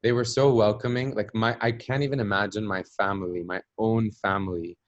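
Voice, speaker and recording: Reflective low-voiced American man, dry sound. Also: steady tone, brisk, no room sound, lo-fi sound. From Palestinian diaspora. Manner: reflective, searching for words, frequent fillers. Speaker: interview guest, journalist. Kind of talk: conversational storytelling.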